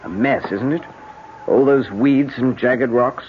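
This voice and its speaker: An actor playing a genial, courteous English gentleman with a British accent in a radio drama. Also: mid-range voice, slow pace, close to an everyday voice. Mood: light, reassuring. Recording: muffled old radio broadcast with noise.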